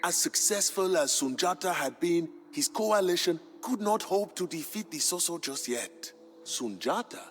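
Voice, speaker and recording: An actor playing a proud West African griot, a solemn royal bard narrating a game's story in a deliberate, grave, theatrical manner. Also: male voice, high voice, animated. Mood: solemn, dramatic. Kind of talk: dramatic scripted storytelling narration for a game. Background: music.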